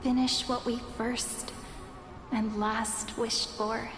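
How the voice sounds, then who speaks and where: An actress playing a tender, wistful young woman in a game, breathy and gentle. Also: fairly high pitch, flat delivery, slow pace. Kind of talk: scripted game dialogue. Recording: long reverb over game music.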